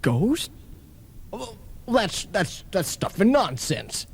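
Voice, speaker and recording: An actor playing a pompous, blustering skeptical husband who sputters when flustered, in old-time radio comedy style. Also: male voice, high voice, big pitch swings, slow, creaky, breathy edge, full character voice. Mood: flustered, defiant.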